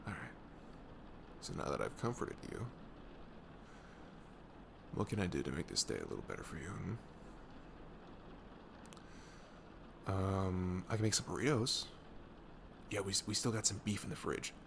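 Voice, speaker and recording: A young man playing a caring, reassuring best friend in an intimate ASMR roleplay, soft-spoken and earnest, with audible noise in the recording. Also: low voice, expressive, normal speed, pauses often. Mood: encouraging. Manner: soft-spoken, often breathy, hesitant, earnest. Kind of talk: ASMR roleplay acting, intimate casual talk.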